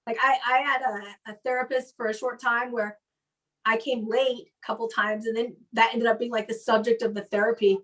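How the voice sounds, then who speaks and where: A woman hosting a show on a live call, chatting casually and telling anecdotes in an animated way. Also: a little high, normal speed, recorded from a distance, rough recording. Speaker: comedy show host. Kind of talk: casual chat. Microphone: live video call.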